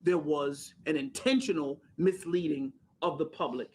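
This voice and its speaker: A man's voice: a little high, moderate pace, darkish tone, husky. A man speaking as a lawyer, making an emphatic, rhetorical statement to the news media. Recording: noisy news conference clip.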